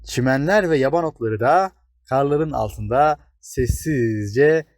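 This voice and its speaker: A man's voice: mid-range voice, slow, dry recording, studio quality. A man narrating a scripted fairy tale for children as a storyteller.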